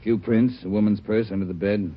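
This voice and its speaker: Low-voiced, commanding police captain played by a man. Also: steady tone, a little fast, close to an everyday voice. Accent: American. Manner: urgent, commanding, terse. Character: hard-driving, grave male police captain giving orders. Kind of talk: 1940s radio crime drama acting. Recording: muffled old radio recording, faint noise.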